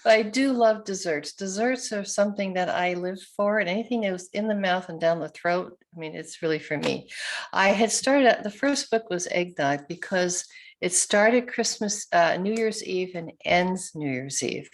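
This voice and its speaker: A woman's voice: lowish voice, normal speed. An older woman from New York chatting casually and self-deprecatingly as an author guest on a video call. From New York, United States. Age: older, around 70s. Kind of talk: casual chat. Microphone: video call microphone.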